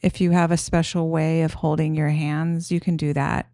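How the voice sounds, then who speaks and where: A woman guiding a meditation in a gentle, soothing, unhurried manner, giving simple instructions. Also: low voice, flat delivery, dry recording, clean studio sound. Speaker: meditation guide.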